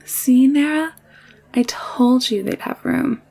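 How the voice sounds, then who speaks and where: Woman acting a warm, headstrong, creaky-voiced young woman. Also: high voice, slow pace, heavily acted, rough recording. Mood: cheerful, coaxing. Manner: playful, coaxing, assured. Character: warm, headstrong, protective young woman, alien-world traveler. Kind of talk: scripted audio roleplay drama.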